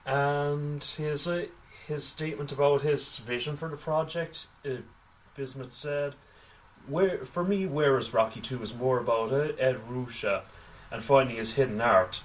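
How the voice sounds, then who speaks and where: A man co-hosting a casual film news podcast, sometimes reading items out, with halting delivery and wry asides. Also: medium pitch, a little hiss or hum. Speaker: podcast co-host. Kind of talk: casual film news discussion, partly read out. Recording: voice slightly distant from microphone.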